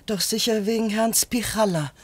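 A woman acts out an elderly housewife in a radio crime play. She is a talkative, homely neighbour who chats colloquially as she helps out as a witness. Her voice sits at a middling pitch. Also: normal speed, natural voice. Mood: flustered, chatty. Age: older. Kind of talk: naturalistic German radio drama acting.

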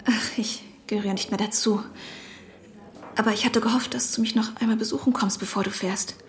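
An actress playing an insistent, quietly manipulative woman in a radio play, soft-spoken but pointed. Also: fairly high pitch, fairly even intonation, a little fast, natural voice. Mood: gently coaxing. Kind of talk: German radio play acting.

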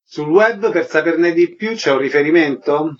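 A man hosts the radio slot with brisk, short questions. His voice is husky and somewhat high. The broadcast sound is dull. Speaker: radio host. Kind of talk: radio interview.

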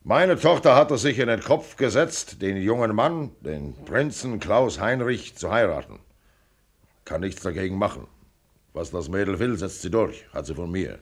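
Radio play: self-assured older millionaire, gravelly and blunt, clipped commands, swinging intonation. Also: male voice, fairly low pitch, lively intonation, normal speed. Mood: blunt, businesslike. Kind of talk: radio drama acting.

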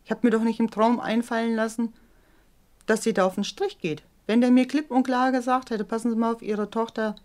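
A woman's voice: fairly high pitch, steady tone, a little fast, darkish tone. A middle-aged woman giving personal testimony in a documentary interview, speaking in an emphatic, plain-spoken way. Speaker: interviewee, mother of a teenager.

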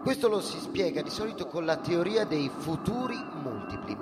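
Higher-pitched, animated police commissioner. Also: male voice, normal speed. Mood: lecturing, official. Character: male police commissioner, official and lecturing. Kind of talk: radio drama acting, formal explanation. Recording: reverberant hall with faint noise.

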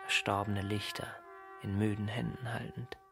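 Creaky-voiced brooding bachelor writer, music behind. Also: male voice, on the low side, little pitch movement, normal speed. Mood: contemplative. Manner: literary, theatrical monologue. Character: Dürtal, brooding solitary bachelor writer absorbed in research. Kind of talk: radio drama acting. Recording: loud guitar music behind.

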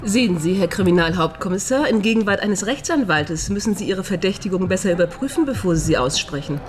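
An actress playing a brisk, teasing woman with a sardonic streak, in a German radio crime drama. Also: medium pitch, expressive, slight background noise, natural voice. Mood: sardonic, pointed. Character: brisk, cheeky woman doctor, teasing best friend.

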